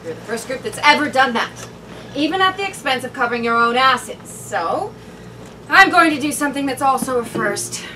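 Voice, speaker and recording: A woman's voice: sits high, expressive, moderate pace, bright, forceful tone. A woman playing a stern, demanding female boss in a live staged sitcom reading, talking in a cool, clipped, commanding way. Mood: cold. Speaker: stage actor. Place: small theater stage. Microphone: far camera. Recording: distant mic, noisy room with audience.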